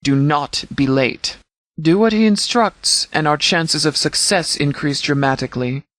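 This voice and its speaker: A man voicing a stern military commander in a video game, speaking formally and with measured authority as he briefs. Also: a little high, a bit bright, dry recording. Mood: grim, resolute. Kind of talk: scripted game dialogue, mission briefing.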